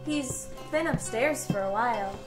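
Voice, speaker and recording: A woman playing a practical, brisk mother of young children in a radio play. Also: on the high side, music in the background, lightly acted. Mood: brisk, motherly. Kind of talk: amateur radio play acting. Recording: roomy, echoey sound.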